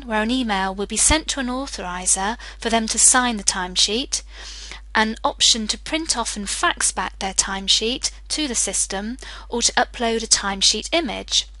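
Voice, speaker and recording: A woman presenting a software demo as a scripted screencast, explaining clearly step by step. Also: a little high, no room sound, poor audio. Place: voice-over for screen recording.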